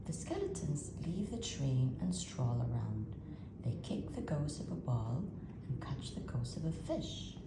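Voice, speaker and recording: A woman reading a children's picture book aloud in an expressive, hushed and drawn-out spooky storytelling manner. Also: deep voice, gravelly. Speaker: storytime reader. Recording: roomy echo, loud background noise.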